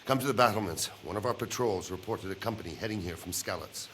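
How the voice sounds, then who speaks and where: An actor playing a dutiful, alert medieval castle guard in a video game. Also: male voice, on the low side, fast talker, fairly bright tone, slight background noise, natural voice. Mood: urgent, low. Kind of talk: dramatic video game dialogue.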